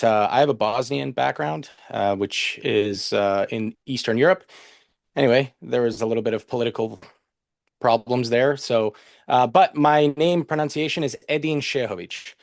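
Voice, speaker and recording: A man's voice: medium pitch, gravelly, no room sound, studio quality. A man from Canada, a podcast guest, chatting casually with lots of fillers and restarts. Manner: rambling, many fillers and restarts. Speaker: podcast guest, nutrition professional. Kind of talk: casual interview chat.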